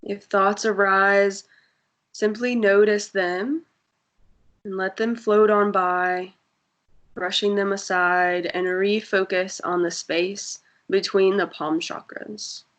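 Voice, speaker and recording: A woman guiding a breathing meditation in a soft, soothing voice with long pauses. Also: mid-range voice, flat delivery. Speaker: meditation guide.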